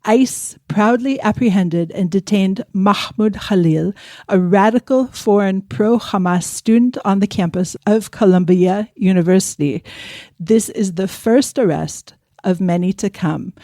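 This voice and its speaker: Mellow-voiced woman hosting a news podcast. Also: medium pitch, no room sound. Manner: measured, articulate delivery. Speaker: podcast host.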